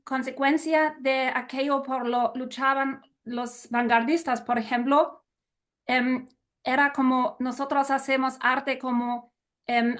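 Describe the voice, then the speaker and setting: High-voiced woman, non-native Spanish speaker. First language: not Spanish. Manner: hesitant, searching for words, switches into English. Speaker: audience member asking a question. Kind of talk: halting discussion question.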